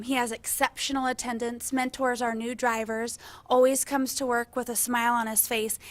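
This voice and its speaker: A woman's voice: on the high side, fairly bright tone. A woman on a school district's staff presenting an employee award at a public meeting. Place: school board meeting room.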